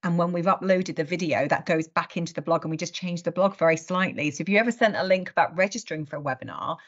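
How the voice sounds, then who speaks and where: Middle aged woman doing webinar hosting, lowish voice, chatty n direct, bit muffled video call sound. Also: quick pace. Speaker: webinar host. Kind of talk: informal webinar presentation. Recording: dull video call audio.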